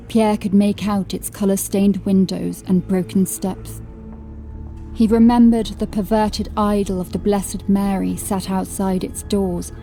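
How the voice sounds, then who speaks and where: A woman narrating scripted horror fiction as a dramatic storyteller, with a mellow voice. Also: medium pitch.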